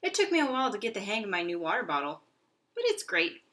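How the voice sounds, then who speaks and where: Woman acting out a little boy hamster, smug, exclamatory, bright swooping voice, storybook read-aloud. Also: mid-range voice, sing-song, fast. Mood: proud, excited. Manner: boastful, exclamatory, theatrical. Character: chirpy, self-satisfied little male pet hamster.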